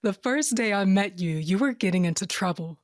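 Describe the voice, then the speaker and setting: A woman's voice: mid-range voice, animated, normal speed, no echo, clean studio sound, close to an everyday voice. A woman playing a caring, earnest mother in a fantasy audio drama, with a natural conversational delivery. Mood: light, curious. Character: worried, caring mother held in a fantasy jail.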